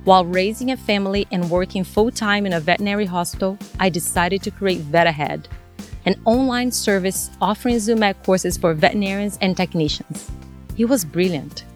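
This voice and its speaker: A woman in her forties from Brazil, speaking English with a Brazilian Portuguese accent and hosting a podcast in an earnest, measured way. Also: mid-range voice, soft background music. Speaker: podcast host. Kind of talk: scripted podcast intro and casual chat.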